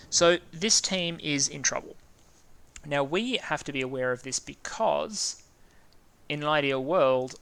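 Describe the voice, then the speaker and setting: A man's voice: a little high, vocal fry, a bit bright. A man with an Australian accent casually talking through a strategy game, thinking aloud and stumbling over names. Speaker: gaming video host.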